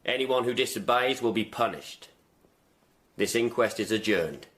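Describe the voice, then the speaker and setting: An actor playing a stern, secretive royal coroner with an English accent, speaking with formal authority in a radio drama. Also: male voice, on the low side, unhurried, fairly bright tone.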